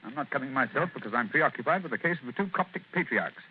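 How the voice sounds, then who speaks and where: An actor playing a crisp, sardonic detective in a 1940s radio drama. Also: male voice, medium pitch, lively intonation, fast. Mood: brisk. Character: brisk, sardonic male detective, middle-aged. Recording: tinny lo-fi old radio recording.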